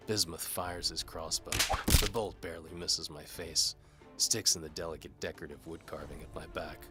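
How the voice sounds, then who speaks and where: A man narrating an audio drama in the first person as a world-weary mercenary detective, with a wry, deadpan, gravelly delivery. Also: extremely low pitch, normal speed. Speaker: narrator and lead actor. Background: music.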